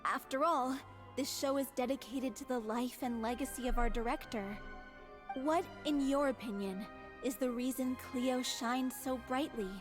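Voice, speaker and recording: A woman's voice: sits high, normal speed, music in the background, natural voice. A woman voicing an earnest young woman of the theatre who speaks formally and courteously.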